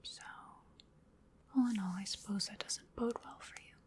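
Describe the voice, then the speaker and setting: A woman playing an ancient vampire in an ASMR roleplay, whispering breathily in a slow, genteel, soothing manner with quiet menace. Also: mid-range voice, fairly even intonation, close to an everyday voice. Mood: coaxing, quietly menacing. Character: ancient female vampire, sinister yet coaxing and polite.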